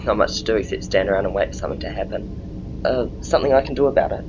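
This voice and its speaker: An actor playing an easygoing, slightly cocky young engineering lieutenant in a science fiction audio drama. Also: male voice, lowish voice, fast talker. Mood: confident, deadpan. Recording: muffled audio with clear background noise.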